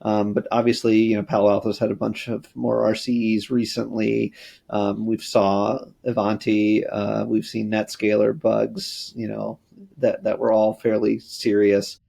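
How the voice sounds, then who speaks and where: Gravelly, husky-voiced man chatting casually. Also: on the low side, monotone, measured pace, warm tone. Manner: thoughtful, loose, trails off and restarts. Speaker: podcast co-host and app tester. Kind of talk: casual panel chat.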